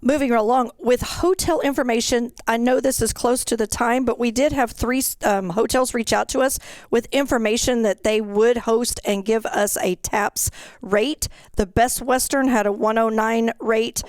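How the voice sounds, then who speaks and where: Higher-pitched woman presenting event details. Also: fairly even intonation, moderate pace, darkish tone, dry recording. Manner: matter-of-fact, orderly listing. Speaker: fine arts director giving event details. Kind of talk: informational webinar briefing.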